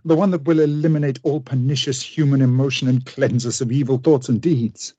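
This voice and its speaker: An actor in a radio play playing an obsessive, idealistic scientist with a husky voice and an intense, grandiose, formal manner. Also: male voice, mid-range voice, steady tone, a little fast, warm tone, no echo, natural voice. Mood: fervent, persuasive. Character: obsessive, idealistic male scientist with a vengeful streak.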